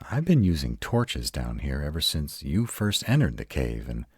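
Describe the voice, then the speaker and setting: Casting: male actor for an uptight, protective male were-dragon in roleplay audio; slow, halting, widely swinging intonation. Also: extremely low pitch, darkish tone, husky, dry recording, clean studio sound, heavily acted. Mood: tense, puzzled. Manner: halting, dramatic, slow delivery with emphatic outbursts. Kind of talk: intimate roleplay audio drama.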